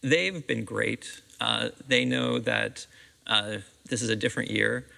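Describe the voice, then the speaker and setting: Middle-aged American man, interviewed director, very creaky voice, recorded in a reverberant auditorium. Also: mid-range voice, steady tone, slow pace, sharp tone. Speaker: school theatre director being interviewed. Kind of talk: conversational interview answers. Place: seated on the stage of a theatre auditorium. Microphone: clip-on lapel microphone.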